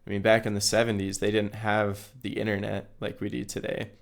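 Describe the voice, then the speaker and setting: A hoarse man, recovering from a recent illness, hosting his own educational podcast and explaining things earnestly with small restarts. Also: lowish voice, monotone, a little fast. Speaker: podcast host. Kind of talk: solo educational podcast talk.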